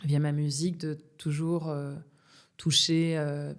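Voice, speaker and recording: A young woman from Belgium, a singer, talking casually in an interview, with many pauses, fillers and restarts. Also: low-pitched, flat delivery. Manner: hesitant, many fillers and restarts, laughs often.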